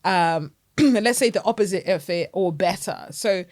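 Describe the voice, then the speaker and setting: A woman with a Zimbabwean accent co-hosting a podcast, chatting casually, emphatic and quick to laugh. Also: on the low side. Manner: animated, emphatic, laughs often. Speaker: podcast co-host. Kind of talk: casual chat.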